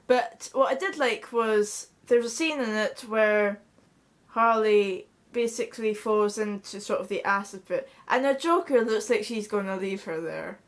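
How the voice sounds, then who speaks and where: A woman's voice: medium pitch, a little slow. A young woman with a Scottish accent chatting casually to camera in a small bedroom, blunt and swearing freely. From Scotland. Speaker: vlogger. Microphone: camera in front at close range.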